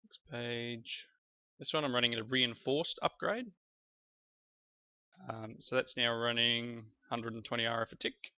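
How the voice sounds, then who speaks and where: A man's voice: medium pitch, fairly even intonation, a little slow, long pauses, pushed and bright, clear, resonant tone, dry recording. A man coming down with a cold, chatting his way through a video game in rambling, self-correcting commentary. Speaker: let's play host. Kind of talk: casual gameplay commentary. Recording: slightly dull lo-fi recording. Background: faint background music.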